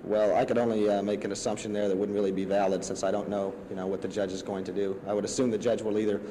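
A young adult man giving halting interview answers. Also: on the low side, level tone, mellow, poor audio. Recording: faint noise on archival newsreel audio.

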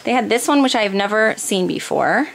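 A woman's voice: mid-range voice, expressive, normal speed, vocal fry. Chatty American woman, noisy home recording. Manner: chatty, informal, explaining item by item. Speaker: family vlogger, mother. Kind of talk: casual grocery haul narration. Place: indoors in a home kitchen or dining room. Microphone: handheld camera close by.

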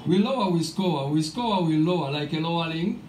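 A man's voice: a little high, animated, normal speed, darkish tone. A man giving short, hesitant answers as a street interviewee. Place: outdoors on a town street. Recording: distant echoey street audio, faint noise.

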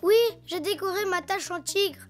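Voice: very high-pitched, moderate pace, faint music behind. A performer voicing a cheerful, imaginative little boy donkey in a children's cartoon, chatty and childlike. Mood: excited, proud. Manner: childlike, talking to himself, expressive.

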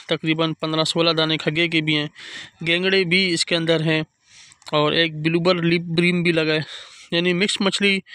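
Karachi guy chatting into his phone at a beach campfire, high bright voice, close and dry. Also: smooth, dry recording. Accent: Karachi Urdu. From Pakistan. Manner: chatty, keeps addressing friends, frequent pious interjections. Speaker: fishing vlogger. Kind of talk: casual outdoor vlog narration. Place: night beach campsite by a fire, outdoors.

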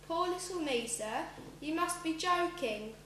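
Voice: extremely high pitch, animated, measured pace, exaggerated voice. A school pupil playing a sly, murderous male barber in an amateur radio play. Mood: defiant. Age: child, about 12 to 13. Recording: roomy, loud hum and noise.

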